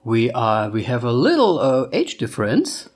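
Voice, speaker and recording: A man's voice: mid-range voice, huge pitch swings, moderate pace. A man in his thirties with a German accent, co-hosting a casual couple podcast in English and now and then searching for words. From Austria, raised in Germany. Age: 30s. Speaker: podcast co-host.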